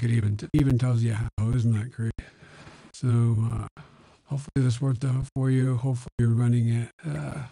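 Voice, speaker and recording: A man's voice: mid-range voice, warm tone, husky, dry recording, poor audio. A man speaking through a real-time AI voice changer as an elderly male politician, in casual demonstration style.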